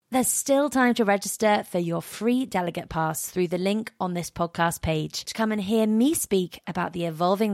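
Woman with some vocal fry, crisp scripted ad read, dry close recording. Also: fairly low pitch, no echo. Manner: clear, polished promotional delivery. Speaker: podcast host reading an event promo.

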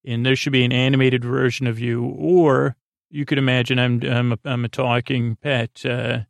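A man hosting a sleep podcast in a gravelly voice, rambling through a halting, meandering bedtime monologue full of tangents and broken-off sentences. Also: medium pitch, little pitch movement, dry recording. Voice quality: gravelly, creaky voice. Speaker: podcast host.

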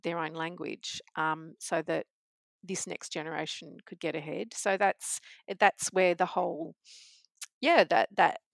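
Gravelly low woman, reflective and earnest. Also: steady tone, measured pace, dry recording. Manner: reflective, earnest, with frequent ums. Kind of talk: casual conversation.

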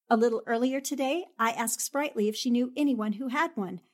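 Casting: plucky young newspaperwoman sleuth, played by a woman, higher-pitched, flippant and arch, for 1940s-style radio drama. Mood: sly, arch. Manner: talks to herself, flippant, arch.

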